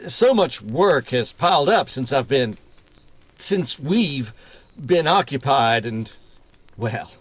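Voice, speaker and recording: Guy doing earnest old radio defense lawyer, slow and theatrical, voice swings a lot, big hall reverb. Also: mid-range voice, sing-song, highs cut off. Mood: awkward, evasive. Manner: oratorical, dramatic pauses. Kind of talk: 1940s radio drama acting. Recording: long hall reverb, music behind.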